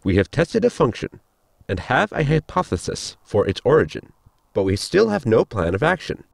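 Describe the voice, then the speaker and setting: A man's voice: mid-range voice, very animated, gravelly, no room sound, full character voice. An actor playing a polite, precise spaceship science crewman in a comedy audio drama, speaking formally and earnestly. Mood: earnest, explanatory. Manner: formal, measured, explanatory.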